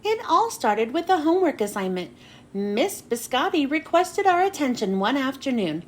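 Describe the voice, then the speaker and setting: A woman narrating a children's storybook expressively, with dramatic pauses and drawn-out phrase endings. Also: sits high, sing-song. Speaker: children's book read-aloud host. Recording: noticeable background noise.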